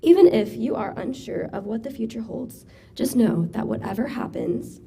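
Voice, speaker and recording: A young woman with a raspy voice giving an earnest, encouraging graduation speech as class valedictorian. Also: fairly high pitch, animated, normal speed, mellow. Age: young adult. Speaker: valedictorian student speaker.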